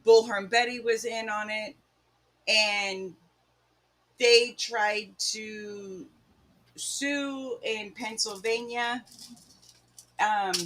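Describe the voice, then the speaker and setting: A woman's voice: medium pitch, fairly bright tone, a little hiss or hum. A woman co-hosting a livestream, giving blunt commentary in a casual, conversational style.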